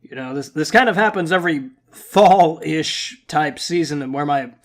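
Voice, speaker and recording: A man's voice: fairly high pitch, a little slow. A young man hosting a gaming channel update vlog, talking casually in a rambling, playful, self-deprecating way, his voice slightly scratchy and nasal from congestion. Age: young adult.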